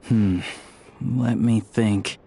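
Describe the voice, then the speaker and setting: A man playing a calm, shrewd, thoughtful ally in a video game, speaking in a measured, pondering way. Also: fairly low pitch, gravelly, a little hiss or hum, full character voice.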